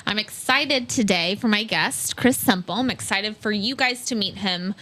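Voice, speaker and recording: A woman's voice: mid-range voice, sharp tone. Enthusiastic woman hosting a podcast. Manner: enthusiastic, exclamatory, quick reactions. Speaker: podcast host. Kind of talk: casual interview conversation. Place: warm room with fans running.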